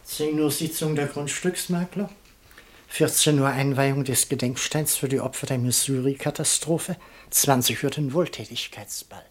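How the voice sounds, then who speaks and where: An actor playing a deferential secretary to a crime boss in a radio drama, formal and clipped. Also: male voice, mid-range voice, moderate pace, a little hiss or hum, natural voice. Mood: dutiful.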